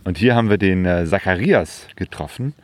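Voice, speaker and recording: A man narrating a casual travel vlog outdoors, with a relaxed manner full of fillers. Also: sits low, a little fast. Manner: relaxed, filler-heavy talk. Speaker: travel vlogger.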